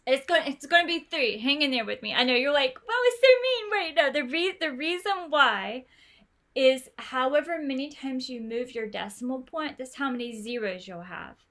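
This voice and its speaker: A woman's voice: sits high, animated, moderate pace, bright, forceful tone. Chatty woman coaching a study group. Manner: encouraging, chatty, many fillers and restarts. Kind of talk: online tutoring session.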